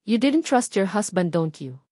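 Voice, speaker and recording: A woman's voice: mid-range voice, big pitch swings, moderate pace, warm tone, slightly rough, no room sound, studio quality. Woman reading as a playful Filipino male friend. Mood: probing. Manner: playful, familiar. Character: teasing, attentive male friend of the heroine.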